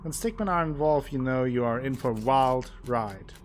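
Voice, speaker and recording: A man's voice: normal speed, slight background noise. A man streaming a game, reading its text aloud and joking between the lines. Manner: playful, reads narration with exaggerated pitch swings. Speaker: gameplay streamer. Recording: music playing underneath.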